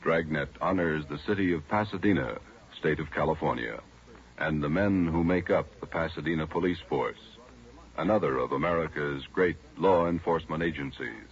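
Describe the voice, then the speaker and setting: A man's voice: a bass voice, moderate pace. A man announcing in a formal 1940s radio style, stately and declarative. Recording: muffled vintage radio, music behind.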